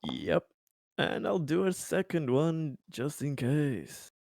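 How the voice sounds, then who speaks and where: A man playing a tabletop roleplaying game, improvising casually with hesitant, deliberate phrasing. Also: a little high, huge pitch swings, creaky, darkish tone, dry recording, studio quality.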